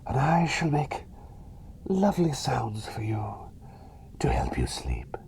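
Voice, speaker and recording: A man's voice: mid-range voice, huge pitch swings, takes it slowly, creaky, noisy background, full character voice. Man playing a whispery, eccentric, cheery plague doctor. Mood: soothing, tender. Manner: whispery, rambling, hesitant, soothing. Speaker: ASMR roleplay performer. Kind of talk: whispered ASMR personal attention roleplay.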